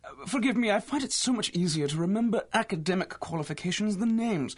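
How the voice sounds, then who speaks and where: An actor playing a courteous, excitable older archaeologist with a fussy, hesitant manner, in a 1930s-style radio drama. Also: male voice, sits high, fast talker. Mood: genial, flattering.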